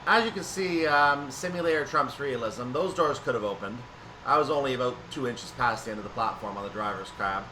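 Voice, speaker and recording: A man's voice: medium pitch, fairly quick, low-quality recording. A man giving play-along commentary on a train simulator, slipping into station-announcer style calls. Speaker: gameplay commentator. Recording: steady noise behind the voice.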